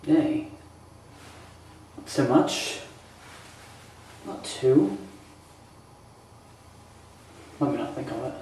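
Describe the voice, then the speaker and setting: A man acting a grieving, bitter young prince in a theatrical Shakespearean monologue, with halting, emphatic delivery and dramatic pauses. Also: on the high side, warm tone, slight background noise. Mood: pained disbelief. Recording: echoey room, distant microphone.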